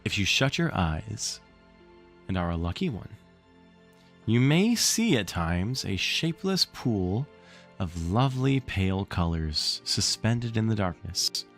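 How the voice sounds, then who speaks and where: A man reading a children's story aloud on a livestream in an expressive, theatrical way, with casual asides to the chat. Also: lowish voice, takes it slowly, soft background music.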